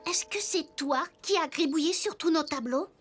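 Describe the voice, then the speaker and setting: A very high, childlike cartoon voice for a little wooden toy boy detective, acted by an adult. He is earnest and inquisitive, asking clear questions over background music. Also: moderate pace, natural voice. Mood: serious, investigating.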